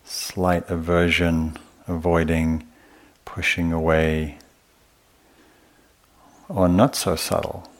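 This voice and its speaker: A man teaching meditation in a gentle, unhurried way, often pausing between short phrases as he gives a guided talk at a retreat. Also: sits low, level tone.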